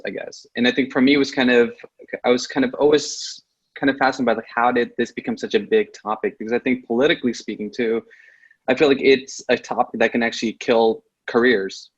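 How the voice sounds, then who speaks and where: A man's voice: medium pitch, husky, muffled recording. A man hosting an interview, asking a long question hesitantly, with many fillers and hedges. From Pakistani family, raised in Saudi Arabia. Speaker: host.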